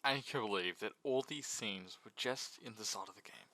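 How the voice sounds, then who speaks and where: A man's voice: on the low side, brisk, sharp tone. A man giving a casual, jokey video essay about games, with mock sincerity.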